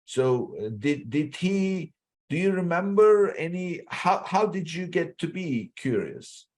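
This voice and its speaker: A man hosting a podcast interview, asking friendly questions with halting restarts. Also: fairly high pitch, big pitch swings, a little mellow. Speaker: podcast host. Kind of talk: interviewing a guest.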